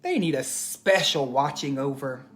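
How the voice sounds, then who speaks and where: A man reading aloud and teaching for children, expressive and addressing them directly with questions. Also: medium pitch, a little slow, soft background music. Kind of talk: children's storybook read-aloud and lesson.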